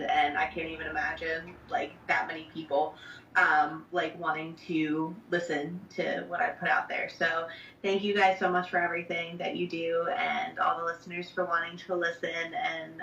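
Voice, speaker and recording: A young woman from New Jersey chats casually as a musician guest, with plenty of fillers and an upbeat tone. Her voice sits low with a gravelly fry, and it comes through a roomy, distant, dull-sounding line. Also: a bit bright, slight background noise. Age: young adult. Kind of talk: remote interview. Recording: echoey distant lo-fi call audio.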